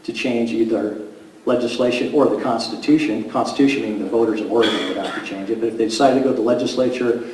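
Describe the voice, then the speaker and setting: A man's voice: mid-range voice, fairly even intonation, fast, background noise. A man giving assured, reassuring remarks at a public meeting. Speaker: association representative. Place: meeting room. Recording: echoey room from far off.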